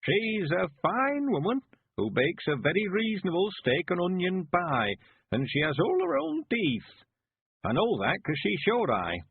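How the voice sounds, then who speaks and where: A man doing a 91-year-old codger, high quavering voice, slow drawl, husky, tinny phone-like sound. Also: huge pitch swings, exaggerated voice. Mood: cheery. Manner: chuckling, rustic, stubborn. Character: frail cheerful 91-year-old man, courting.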